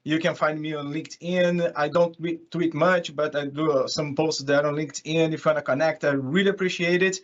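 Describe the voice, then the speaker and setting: A man's voice: fairly high pitch, fairly even intonation, brisk, few pauses. A man from Brazil with a Brazilian accent, speaking English as a second language, gives an informal, chatty online conference presentation as a consultant. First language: Portuguese. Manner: chatty, often chuckling. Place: remote online session from California. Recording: dull lo-fi online call audio.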